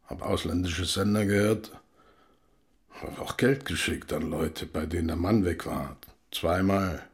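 An actor playing a gruff, guarded older East German father in a radio drama, speaking in terse, halting, plain phrases. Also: deep voice. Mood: guarded, blunt.

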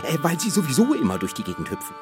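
A high-voiced, earnest talking badger. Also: male voice, huge pitch swings, rapid-fire, darkish tone, soft background music. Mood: earnest, helpful. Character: earnest, courteous talking badger, loyal royal advisor. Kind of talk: radio play acting.

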